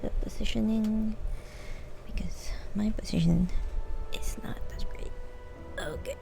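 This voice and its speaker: A woman game streamer giving casual live commentary, musing in short, unhurried fragments. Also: medium pitch, steady tone, vocal fry, whispered. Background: game music.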